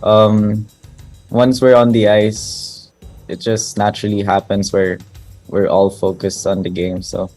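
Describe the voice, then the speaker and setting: A man's voice: on the low side, little pitch movement, a little slow, darkish tone, faint music behind. A young man with a Filipino accent answering interview questions in a casual way, mixing in Tagalog, with many likes and you knows. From Philippines. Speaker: guest ice hockey player.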